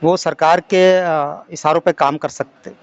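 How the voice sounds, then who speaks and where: A man from Madhya Pradesh in India answering interview questions as a protest supporter, halting and repeating phrases, on a dull recording. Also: fairly high pitch, fairly even intonation. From Singrauli, Madhya Pradesh, India. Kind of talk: earnest spoken interview answers. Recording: dull, lo-fi audio.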